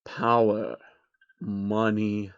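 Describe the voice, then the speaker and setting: A man's voice: on the low side, flat delivery, clear, resonant tone, no echo, dull audio, pitched below the performer's own voice. Man doing a menacing, scheming billionaire madman. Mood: cold scheming. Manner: deliberate, threatening.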